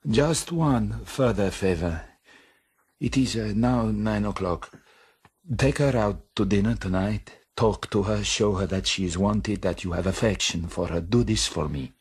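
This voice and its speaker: A man acting in a radio drama as a grave, anguished crime-boss father, speaking in a measured, formal way. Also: fairly low pitch, breathy edge. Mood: solemn, pleading. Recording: orchestral music under the voice.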